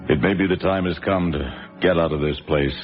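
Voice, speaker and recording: A man's voice: low-pitched, steady tone, brisk, muffled recording, over music, close to an everyday voice. An actor playing a groggy, hesitant man in a radio drama, dreaming of leaving town. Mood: wistful.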